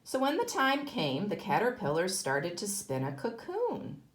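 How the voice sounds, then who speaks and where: A woman teacher reads a story aloud for young children. Her delivery is slow and highly animated, with deliberate emphasis on key words. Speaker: kindergarten teacher.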